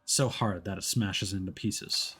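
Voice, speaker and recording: A man's voice: low voice, steady tone, measured pace, a bit bright, husky, soft background music. A man streaming a video game, moving between casual commentary and reading story narration aloud.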